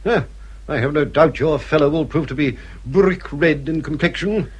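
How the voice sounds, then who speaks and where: An actor playing a vain, haughty, middle-aged English baronet in a radio period drama, drawling with pompous disdain. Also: male voice, a little high, moderate pace, slightly rough. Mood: disdainful.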